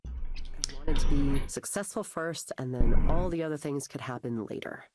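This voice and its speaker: A woman's voice: rumbling low, normal speed, darkish tone. An actress voicing a self-deprecating woman in a video game who confides in a hesitant, rambling, natural way. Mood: wistful. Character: self-deprecating aspiring performer confiding in a counselor.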